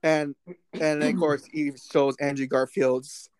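A man co-hosting a podcast, talking excitedly about a film in a rambling way, with many restarts and fillers. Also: on the high side, measured pace, clear, resonant tone, dry recording. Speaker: podcast co-host. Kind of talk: casual movie discussion.

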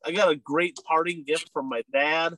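A man with a stuffed-up, nasal voice from a head cold hosts a racing podcast, chatting in a loose, upbeat way full of restarts. His voice sits a bit high for a man, and the recording is close and dry, with no room sound. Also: steady tone, normal speed, fairly bright tone, no room sound, poor audio. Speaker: podcast host. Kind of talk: casual racing podcast chat.

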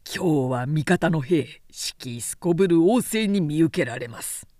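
A woman's voice: low-pitched, lively intonation, unhurried, mellow, no room sound, studio quality, pitched below the performer's own voice. A woman voicing a male samurai general who declaims in archaic warrior speech for a storybook reading. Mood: grave, urging. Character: commanding male samurai general rallying troops.

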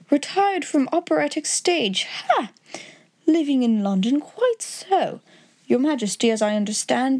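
A woman's voice: fairly high pitch, warm tone. A woman voicing a languid, incisive male detective in an audiobook story, with a dry, clipped, self-assured manner.

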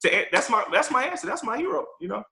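A man's voice: very high-pitched, very animated, fast, vocal fry, sharp tone. A man from Chicago, a real estate investor and guest on a podcast interview, talking energetically and repeating words as he thinks.

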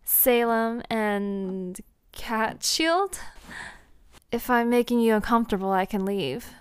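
A woman's voice: fairly high pitch, slow, clean tone, dry recording. A woman playing a charismatic young newcomer, polite and a little hesitant but playful, in an audio drama. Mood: curious, polite. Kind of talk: naturalistic audio drama acting.

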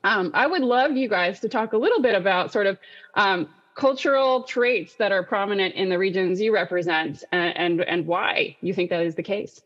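A woman's voice: medium pitch, normal speed, a bit bright, dull audio. A woman hosting an online panel, presenting in a semi-scripted webinar style. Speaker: panel host and moderator.

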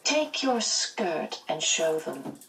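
Woman reading a kindly otherworldly guide; gentle, measured explanations. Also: deep voice, very animated, distant mic, slight background noise, full character voice, low-quality recording.

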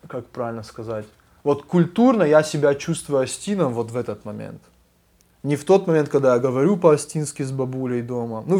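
A man's voice: medium pitch, darkish tone. A young adult man, a guest musician, explaining things earnestly and with animation in a casual conversation.

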